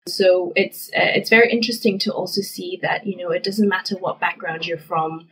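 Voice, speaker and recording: A woman's voice: fairly low pitch, steady tone, moderate pace, far from the mic, rough recording. A woman in her thirties, raised in West Africa, an NGO director answering interview questions earnestly and reflectively. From grew up in Ghana, West Africa. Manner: earnest, reflective, with frequent "you know". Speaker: executive director of a youth NGO.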